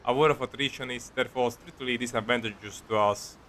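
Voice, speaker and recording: A man streaming a video game, casually commenting and reading dialogue aloud in a halting, sweary way. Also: mid-range voice, steady tone, a bit bright, slight background noise.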